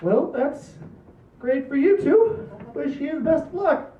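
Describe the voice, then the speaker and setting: A man's voice: very high voice, expressive, unhurried, a little hiss or hum. A man playing a needy, wounded family man in a comedy table read, overwrought and plaintive. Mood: melodramatic. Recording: echoey, phone-like, distant room recording.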